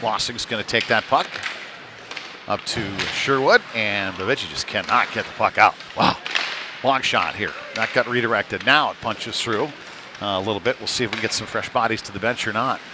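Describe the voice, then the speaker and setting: A man's voice: fairly low pitch, moderate pace. A man doing live ice hockey play-by-play commentary in a conversational, informal manner. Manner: conversational, informal, tag questions. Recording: clearly noisy venue ambience.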